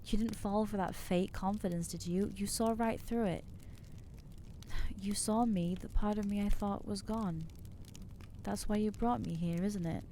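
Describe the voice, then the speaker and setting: Nervous young vampire girl voiced by a woman, soft mellow voice, keeps trailing off and putting herself down, asmr roleplay. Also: medium pitch, steady tone, a little slow, slight background noise, close to an everyday voice. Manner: hesitant, self-deprecating, trailing off. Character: anxious self-loathing young female vampire.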